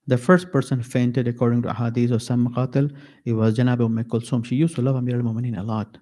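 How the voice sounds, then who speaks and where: A man giving a mourning sermon at an Islamic center, slow and emphatic, repeating key phrases with pauses. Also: medium pitch, flat delivery, dark tone.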